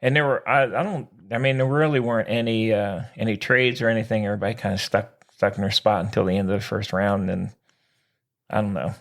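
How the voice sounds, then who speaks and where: A man's voice: on the low side, fairly even intonation, a little fast. A man co-hosting a sports podcast, chatting casually in a folksy, jokey way with slangy exclamations. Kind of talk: casual sports chat, joking banter.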